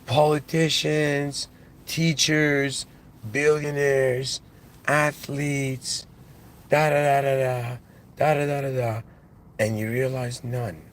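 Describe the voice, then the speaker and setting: A man with an accent in English, giving a reflective spiritual monologue as a channel host, halting and musing, with restarts and devout phrases. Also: medium pitch, level tone. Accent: non-native English.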